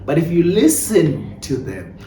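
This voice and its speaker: A South African man giving conversational interview answers as a playwright and director, telling things in an animated storytelling way. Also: a little high, unhurried. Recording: echoey room, distant mic, clear background noise.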